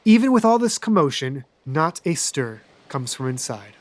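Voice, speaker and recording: A man running a tabletop game as game master, narrating scenes in a dry, deadpan way. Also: a little high, normal speed, no room sound.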